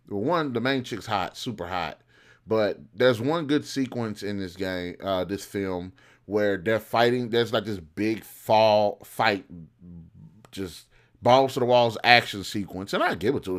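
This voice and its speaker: A man hosting a review show with casual, opinionated commentary, speaking informally and often repeating and rephrasing himself as he goes. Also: lowish voice. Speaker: host of a film review show.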